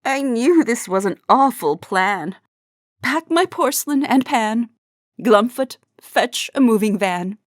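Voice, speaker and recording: A woman acting a high, excitable storybook lady chef. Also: slow, no room sound, clean studio sound. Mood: despairing. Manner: theatrical, prim. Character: excitable, dramatic, fussy woman chef with café dreams.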